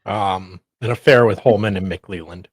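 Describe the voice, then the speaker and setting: A man with a lowish, rough-edged voice, co-hosting in a casual way. He drops in short remarks, recorded dry and close. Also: moderate pace, no room sound. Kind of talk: casual chat, brief interjections.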